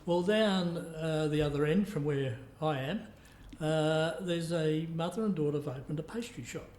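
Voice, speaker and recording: A man's voice: on the high side, moderate pace, darkish tone. A man interviewing on a podcast in an informal, rambling, conversational way. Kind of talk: casual conversational interviewing with anecdotes. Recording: echoey room.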